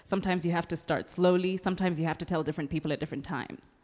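A woman's voice: lowish voice, steady tone, rapid-fire, a little mellow. A woman hosting a live podcast panel, bantering with guests and encouraging them in an exclamatory way. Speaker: podcast host. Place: live event with an audience. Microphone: event microphone.

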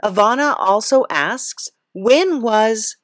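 A woman hosting an improvised comic interview, speaking in a hesitant, halting and bemused way. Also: fairly high pitch, lively intonation, dry recording, clean studio sound. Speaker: podcast host interviewing a character.

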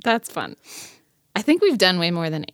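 American lady host, lowish voice, playful and frank, casual chat, super dry close sound. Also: sing-song, moderate pace, no room sound. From United States. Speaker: host, sexuality educator.

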